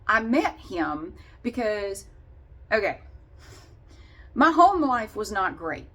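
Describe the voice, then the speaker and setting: A woman's voice: medium pitch, fairly bright tone. A woman vlogger casually telling a personal story in a candid, wry and sarcastic way.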